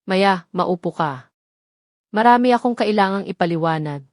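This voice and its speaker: A woman's voice: medium pitch, animated, normal speed, no room sound, clean studio sound, close to an everyday voice. Woman voicing an earnest, bashful young Filipino man. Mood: serious. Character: earnest, bashful, simple young male musician sweetheart.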